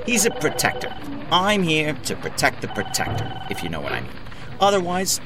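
Showbiz publicist, highish voice, very animated, pushy, repetitive sales patter, audio comedy, music underneath. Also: male voice, moderate pace, background noise. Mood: emphatic, protective. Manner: pushy, emphatic, piling up repeated phrases. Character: fast-talking defensive showbiz agent, salesman-like, a man. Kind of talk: comedic audio drama mock interview.

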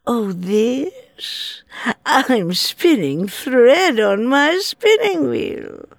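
A woman's voice: fairly high pitch, sing-song, very slow, gravelly, dry recording, studio quality, heavily acted. An actress playing a wicked fairy disguised as a frail old woman, coaxing and sly with sinister whispers in an audio drama.

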